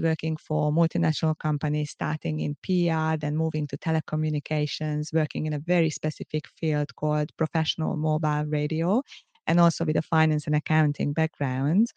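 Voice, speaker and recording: A middle-aged woman with a Hungarian accent, hosting a solo podcast in a measured, earnest, explanatory way. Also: low-pitched, vocal fry, a little mellow, no room sound, lo-fi sound. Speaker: podcast host. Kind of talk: solo podcast monologue, semi-scripted.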